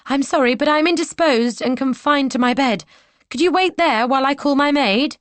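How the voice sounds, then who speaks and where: A woman voicing a young gentlewoman who politely feigns weakness from her bed. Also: sits high, moderate pace, no echo, voice pushed up, lightly acted. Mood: feigning. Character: young gentlewoman feigning illness, cunning and composed.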